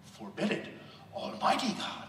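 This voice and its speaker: A man's voice: unhurried, slight background noise. A man from India with an Indian accent, giving an impassioned sermon-style speech as a motivational speaker. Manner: impassioned, rising to loud emphasis. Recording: echoey hall, distant mic.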